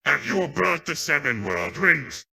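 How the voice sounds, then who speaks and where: A synthetic man's voice playing a menacing, demanding djinn villain in a game cutscene dub, gravelly and harsh, with pompous delivery. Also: fairly high pitch, sing-song, measured pace, a crowd in the background, heavily acted.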